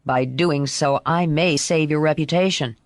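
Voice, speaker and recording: A woman voicing a stern, controlled father who speaks in a formal, measured way, as in an audiobook. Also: deep voice, dry recording, clean studio sound. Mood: stern, disappointed. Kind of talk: audiobook character voice.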